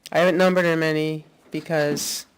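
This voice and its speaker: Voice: medium pitch, flat delivery, moderate pace, clear, resonant tone, rough recording. A person helping a town planning board with its wording, talking through edits at a public meeting.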